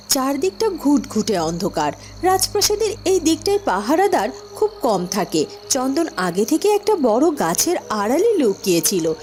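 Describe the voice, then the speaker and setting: A woman's voice: sits high, a little mellow, slight background noise. A woman narrating a scripted fairy-tale cartoon story.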